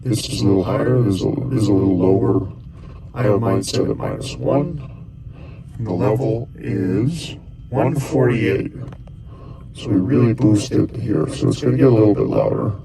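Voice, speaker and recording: A man's voice: lowish voice, normal speed, vocal fry, a loud crowd, low-quality recording. A man giving a casual step-by-step tutorial, thinking aloud as he presses buttons and talking in loose, rambling phrases. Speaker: costuming hobbyist giving a tutorial.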